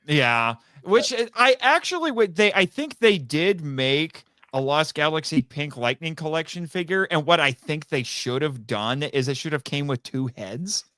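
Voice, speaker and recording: Higher-pitched man chatting in a dry-sounding space. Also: lively intonation, moderate pace, few pauses, bright, forceful tone, no room sound. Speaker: podcast guest. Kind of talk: casual fan chat on a live podcast.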